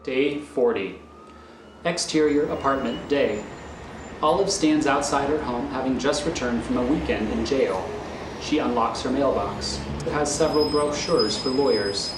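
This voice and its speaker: A man reading scripted scene directions as narrator, in a deliberate storytelling manner. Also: mid-range voice. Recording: echoey room with faint noise.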